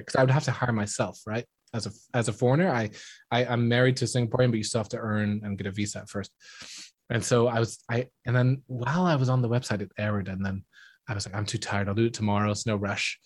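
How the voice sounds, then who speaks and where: A man's voice: mid-range voice, slightly rough, dry recording. A man from the Netherlands chatting casually as an interview guest, telling stories and acting out what people said. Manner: animated storytelling, acting out quoted remarks. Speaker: interview guest, school drama teacher. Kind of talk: casual anecdotal chat.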